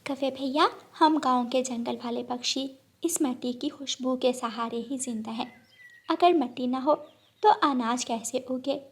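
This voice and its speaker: A kind female village sparrow from a children's cartoon, put on by a woman narrator. She speaks in a high voice, polite and hospitable, explaining things gently. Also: a little slow. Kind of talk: children's cartoon story acting.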